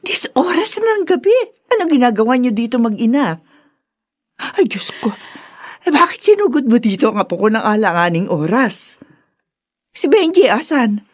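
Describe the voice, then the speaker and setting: A woman's voice: on the high side, big pitch swings, moderate pace. An actress playing a concerned older grandmother in a radio drama, motherly and fussing, given to exclamations. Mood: startled, worried. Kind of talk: Filipino radio drama acting.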